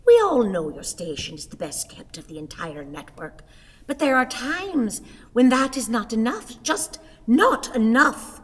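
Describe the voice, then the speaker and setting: An actress playing a querulous, sickly old wife, declaiming with grand indignation and dramatic pauses in a radio play. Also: female voice, on the high side, big pitch swings, slightly rough, heavily acted. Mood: indignant. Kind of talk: stage radio play acting. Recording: long hall reverb, theatre sound.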